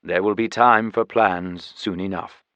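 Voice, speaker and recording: A man's voice: sits low, steady tone, a little slow, fairly bright tone, clean tone, no room sound, dull audio, voice pushed down. A man voicing an immense tiger guardian in an audiobook, formal, dignified and courteous. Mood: calm, courteous. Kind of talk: audiobook character voice.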